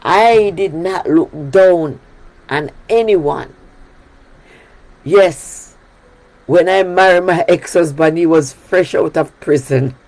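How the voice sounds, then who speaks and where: A woman's voice: fairly low pitch, takes it slowly, long pauses, darkish tone, slight background noise, low-quality recording. A woman from Jamaica with a Jamaican accent, preaching a sermon on her prayer broadcast in an emphatic style that repeats key phrases. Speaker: pastor leading a prayer broadcast.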